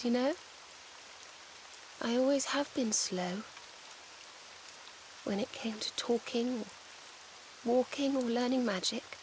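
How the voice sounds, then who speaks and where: An actress voicing a gentle, polite young woman in a video game, speaking in prim phrases with faint rain behind her. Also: a little high, a little hiss or hum, close to an everyday voice. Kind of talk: dramatic video game voice acting.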